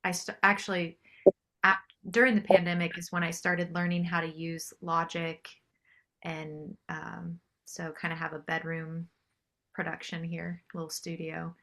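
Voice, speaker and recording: A woman being interviewed as a singer-songwriter, chatting casually and politely in a slightly tentative, creaky way. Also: fairly low pitch, completely flat delivery, measured pace, a bit bright. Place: small home studio.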